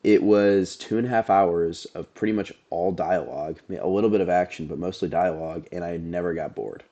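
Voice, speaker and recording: Deep-voiced man, stuffy and nasal. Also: brisk, mellow, muffled recording. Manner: laid-back, rambling, lots of "like" and "um". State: nasal from allergies. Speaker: podcast co-host. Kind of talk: casual movie chat.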